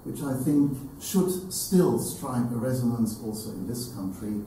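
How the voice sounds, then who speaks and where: Very reverberant room, older man, dark muffled tone, formal speech, halting and deliberate. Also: medium pitch. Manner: deliberate, halting, emphatic repetition. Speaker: event speaker. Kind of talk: formal tribute speech to an audience. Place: hall with seated audience, indoors. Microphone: distant camera. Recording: very echoey room, distant mic, slight noise.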